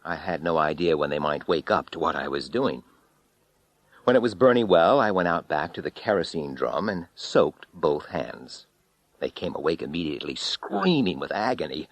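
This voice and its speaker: Low male narrator for horror fiction; theatrical, highly animated, intense swings from hush to full voice. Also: normal speed, no echo. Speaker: audiobook narrator. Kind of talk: dramatic first-person horror story narration.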